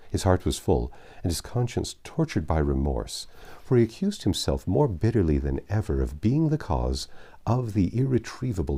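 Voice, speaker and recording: A man narrating a classic novel in an expressive, measured style with dramatic pauses. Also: low voice, slightly rough. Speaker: audiobook narrator. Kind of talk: expressive literary storybook narration.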